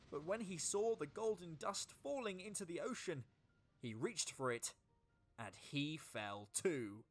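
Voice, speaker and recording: Slow, animated woman storyteller. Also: low voice, husky. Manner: expressive, dramatic. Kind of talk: scripted children's storybook narration. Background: music.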